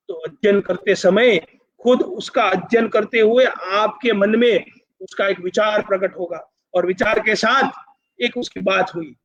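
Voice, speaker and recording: A man with a notably high voice and a Gujarati accent delivers a public lecture. He explains and persuades emphatically, building parallel repeated phrases and slipping in English words. The recording is dull and lo-fi. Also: a bit bright. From Gujarat, India. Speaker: university vice-chancellor, speaker.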